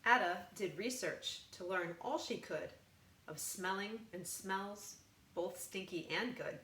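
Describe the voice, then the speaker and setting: A woman reading a rhyming children's picture book aloud, expressively, with deliberate, emphatic phrasing. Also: mid-range voice, fairly bright tone, slight background noise. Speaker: volunteer storybook reader. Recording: roomy, echoing room sound.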